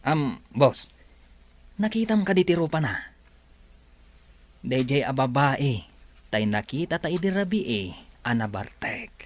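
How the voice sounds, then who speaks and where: A man's voice: medium pitch, big pitch swings, measured pace, pauses often. A man playing a boss's nosy, familiar sidekick in a radio comedy drama. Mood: nosy, amused.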